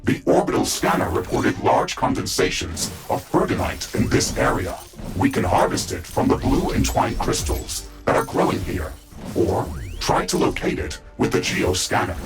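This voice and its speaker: Game role: male mech-suit computer, composed and formal, fry-laden synthetic voice with swinging pitch, clipped procedural announcements. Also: sing-song, moderate pace, music in the background. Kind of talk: video game voice acting, status reports.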